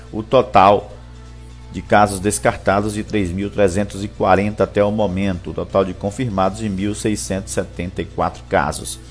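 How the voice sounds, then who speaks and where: Deep-voiced man reading the news. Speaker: news bulletin presenter. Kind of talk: reading local news, greeting viewers live. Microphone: headset.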